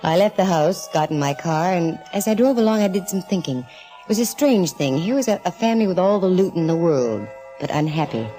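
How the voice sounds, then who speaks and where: Low, mellow, wry female private eye, played by an actress. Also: animated, soft background music, lightly acted. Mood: wry, reflective. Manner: breezy, wry, quick with quips. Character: wisecracking, flirty female private eye narrating her case. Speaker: lead actress. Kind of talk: 1940s radio detective acting. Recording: vintage 1940s radio recording.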